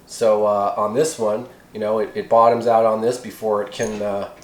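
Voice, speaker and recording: A man's voice: on the low side, flat delivery, normal speed. Folksy man explaining, echoey room. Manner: folksy, rambling, colloquial. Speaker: host of a hobby how-to video. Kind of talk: casual hands-on tutorial talk.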